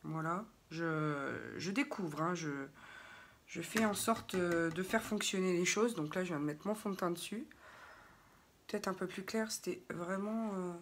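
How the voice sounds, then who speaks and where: A woman's voice: lowish voice, unhurried, slight background noise. A young French woman chatting casually to viewers as she does a makeup tutorial, often drifting into asides. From France. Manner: chatty, digressive, talks to viewers. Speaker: beauty vlogger.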